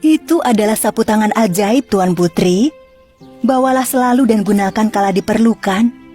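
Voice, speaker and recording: A woman's voice: mid-range voice, normal speed, mellow, faint music behind, close to an everyday voice. A woman voicing a kind, protective fairy in a children's fairy tale, gracious and formal. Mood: kindly.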